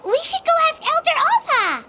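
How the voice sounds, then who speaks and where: Game fairy sidekick, female: very high, childlike, excitable, wildly animated delivery. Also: moderate pace, very noisy, exaggerated voice. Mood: excited. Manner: refers to self in third person, exclamatory. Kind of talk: video game character dialogue. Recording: game music behind the voice. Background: loud game music.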